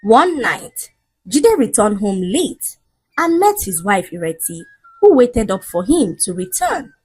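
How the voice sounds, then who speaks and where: A woman storyteller reads a scripted folktale with a very lively, swooping intonation. Her voice sits at a medium pitch and has a husky edge. The delivery is dramatic narration. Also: a little slow, low-quality recording.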